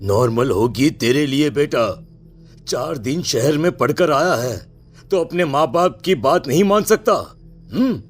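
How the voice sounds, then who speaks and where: A man playing a stern, worried village father in an animated horror story, husky and admonishing. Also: on the high side, measured pace, faint music behind. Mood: disapproving.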